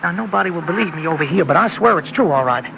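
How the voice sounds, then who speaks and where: A cheeky young lad in a 1960s radio play, talking high and bouncy as he wheedles and pleads. The old broadcast sounds dull and muffled, with a steady hiss underneath. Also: fast, gravelly. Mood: wheedling. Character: cheeky young Cockney man Alfie, chatty. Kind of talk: 1960s radio drama acting.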